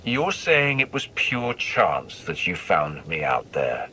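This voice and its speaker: A man's voice: low voice, big pitch swings, slow, bright, forceful tone, slight background noise, full character voice, low-quality recording. A man playing a stern, guarded warrior in a video game, blunt, dry and skeptical.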